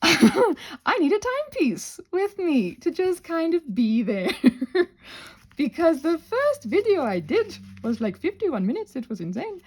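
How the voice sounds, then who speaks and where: A woman chatting casually as she hosts a craft video, playful and self-deprecating, drifting off on tangents and thinking aloud while she works. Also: fairly high pitch. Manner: digressive, thinking aloud while working with hands. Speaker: craft and ASMR channel creator, host. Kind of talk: casual rambling craft chat.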